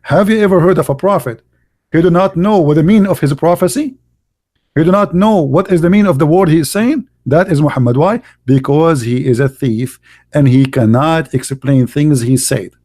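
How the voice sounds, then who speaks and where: A man whose first language is Arabic speaks English with an Arabic accent and a slightly higher-than-usual pitch. He argues in a pointed, mocking way and repeats his points for emphasis. His grammar slips in the way a non-native speaker's does. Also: moderate pace, darkish tone. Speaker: live-stream host debating callers. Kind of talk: heated religious debate talk.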